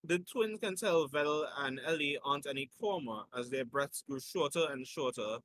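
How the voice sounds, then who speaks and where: Male story reader, slightly high pitch, sharp bright tone, informal delivery with self-correcting asides. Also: normal speed, a little hiss or hum. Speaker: host reading fan fiction aloud. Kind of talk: informal read-aloud narration with asides.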